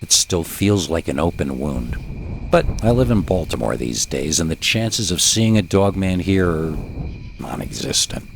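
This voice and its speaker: A man's voice: low voice, very animated, unhurried, gravelly, slight background noise. A man narrating scary stories in a dramatic style as the host of the show. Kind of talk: dramatic horror story narration.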